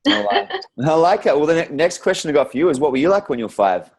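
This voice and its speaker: A man's voice: on the high side, big pitch swings, racing, breathy edge. A man hosting a conversational interview in an informal, rambling, encouraging way. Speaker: interview host.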